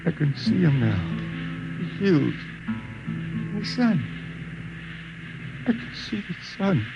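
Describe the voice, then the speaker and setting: High-voiced folksy old blind spaceman. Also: big pitch swings, takes it slowly, gravelly, lo-fi sound, over loud music, heavily acted. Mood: dying, wistful. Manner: folksy drawl with slangy, dropped grammar. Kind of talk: 1950s radio drama acting. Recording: noisy old 1950 radio recording, loud rumble.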